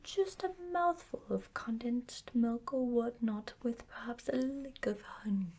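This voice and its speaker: Woman plays wistful bear of little brain for bedtime storybook: raised pitch, soft breathy tone, hesitant delivery. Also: unhurried. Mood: dreamy, wistful. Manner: hesitant, stammering. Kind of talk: children's bedtime storybook character voice.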